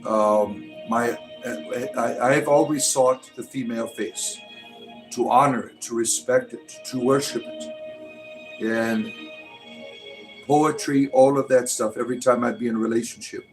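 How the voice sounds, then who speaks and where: Man in his late forties, livestream host, rambling chat, middle pitch, soft music in the background. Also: fairly even intonation, a little slow, poor audio. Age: 40s. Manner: rambling, repeats "right?" often. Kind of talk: casual livestream chat reading comments.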